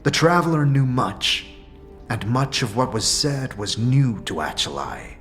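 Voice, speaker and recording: Man acting a slow, solemn warrior monk. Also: medium pitch, big pitch swings, fairly bright tone, faint music behind. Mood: measured, instructive. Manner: solemn, measured, archaic formal phrasing. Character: grave, stoic otherworldly warrior monk, male. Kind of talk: fantasy audio drama acting.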